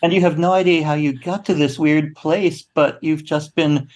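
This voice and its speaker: A man speaking as an interview guest, telling stories casually with a halting, wry, humorous delivery. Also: fairly high pitch, fairly even intonation, noisy background. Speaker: guest playwright and actor.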